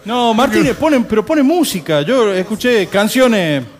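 A man's voice: high-pitched, lively intonation, moderate pace. A man with an Argentine accent co-hosting a casual radio show, chatting in a joking, mocking way. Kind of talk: casual comic political chat. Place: bar, live radio broadcast.

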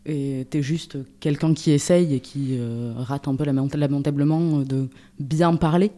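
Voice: mid-range voice, steady tone, moderate pace, mellow. A speaker in a casual radio discussion, talking in a reflective, conversational way. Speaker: radio show panelist.

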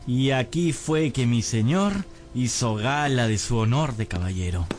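A man's voice: mid-range voice, unhurried, over music, lightly acted. An actor in a radio drama playing a humble, hapless young servant, earnest and plaintive as he tells his misfortunes. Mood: rueful, narrating. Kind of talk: radio drama acting and scripted narration.

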